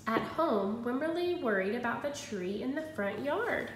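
A woman in her thirties, a kindergarten teacher giving an encouraging read-aloud lesson to young children, pausing after questions. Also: lively intonation. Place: indoors at home, small room. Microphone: phone at arm's length. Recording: roomy echo, faint noise and rumble.

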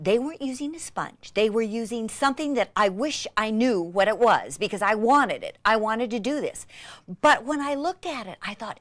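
A woman giving an excitable, gushing craft demonstration, with breathy exclamations and words repeated for emphasis. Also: a little high, no room sound. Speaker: craft instructor demonstrating techniques. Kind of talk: enthusiastic hands-on craft demonstration.

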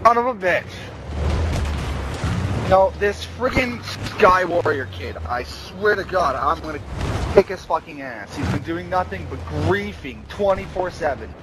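A man's voice: sits high, lively intonation, a little slow. A man ranting while playing an online game, irate, sarcastic and foul-mouthed. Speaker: gamer, squad leader. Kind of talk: heated online gaming rant. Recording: very noisy game capture with gunfire and vehicles. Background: video game gunfire and vehicle noise.

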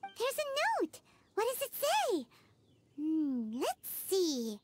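A woman voicing a tiny, chatty floating fairy-like companion in a game cutscene, very high and squeaky with bubbly exclamations. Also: huge pitch swings, takes it slowly, background noise, full character voice. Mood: excited.

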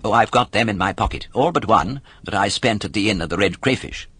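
A man voicing a naive, affectionate little boy puppet from a storybook in an earnest, excitable manner. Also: fairly low pitch, brisk, slightly rough, no echo.

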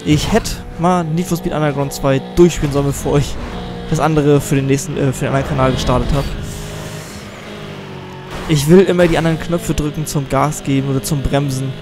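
Rambling teen gamer with a slight cold. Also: male voice, fairly high pitch, fairly even intonation, fairly quick. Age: teens. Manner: rambling, chatty, self-deprecating. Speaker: let's play gamer. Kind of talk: casual gameplay commentary. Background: game music and car sounds.

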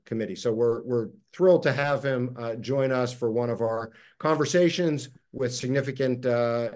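Halting middle-aged man hosting a video call. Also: mid-range voice, a little mellow. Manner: halting, many fillers and restarts. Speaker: host, organization president.

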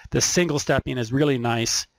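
A man giving an informal, unscripted hobbyist explanation, thinking aloud in a halting way as he goes. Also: medium pitch, gravelly, dry recording. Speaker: electronics hobbyist presenter. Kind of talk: informal show-and-tell explanation of circuit boards.

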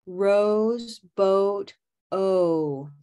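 A middle-aged woman teaching English pronunciation on a video call, modeling words slowly and prompting learners. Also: medium pitch, warm tone. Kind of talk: slow, clear pronunciation coaching. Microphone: webcam on a video call.

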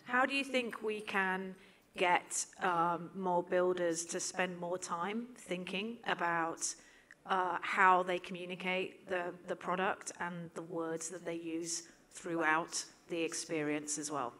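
A woman's voice: fairly low pitch, steady tone, takes it slowly, bright, forceful tone. A woman in the audience asking a question, hesitant at first with many fillers.

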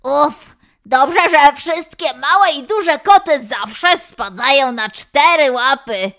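A woman voicing an eager, confident young male lion in a children's story, excitable and boastful. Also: sits high, slow pace, exaggerated voice. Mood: determined.

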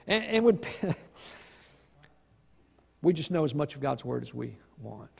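A man preaching a sermon in an emphatic, folksy way, pausing for weight and asking rhetorical questions. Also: fairly high pitch, very animated, gravelly, mellow, breathy edge. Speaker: pastor. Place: church sanctuary stage at a lectern. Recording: long reverb of a church hall.

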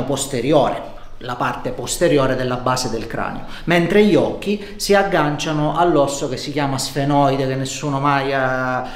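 A middle-aged man, a chiropractor explaining posture in a didactic way and often checking that he is understood. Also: medium pitch. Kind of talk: explaining posture during a filmed treatment. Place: treatment room with wooden floor and furniture, indoors. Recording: echoey room sound.

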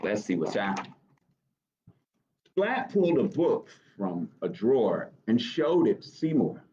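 A man in his thirties reading a picture book aloud to children as storytime host, expressive and encouraging, with chatty asides to young listeners. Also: on the low side, a little slow. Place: small office, indoors. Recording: dull webcam audio.

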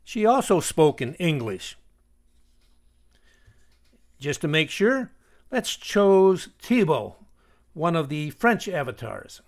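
Male tutorial host, voice a bit high, measured step-by-step screencast narration. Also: sing-song.

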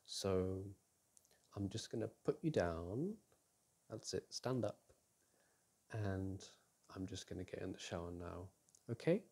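A young man in an intimate roleplay as a boyfriend, talking softly and teasingly to his partner, often sighing and chuckling. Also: sits low, slow, creaky, long pauses, slightly rough. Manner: soft and teasing, with frequent sighs and chuckles. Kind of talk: intimate ASMR roleplay, speaking to an unseen partner. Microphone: close microphone.

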